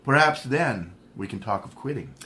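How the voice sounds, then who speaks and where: An actor playing a suave, wealthy crime-fighter in a 1930s-style radio drama, smooth and confident. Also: male voice, mid-range voice, lively intonation, moderate pace, pushed and bright. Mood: determined.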